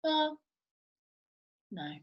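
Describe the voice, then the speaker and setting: A woman's voice: very slow, highs cut off. A woman at a table read, an actor casually asking questions about her character.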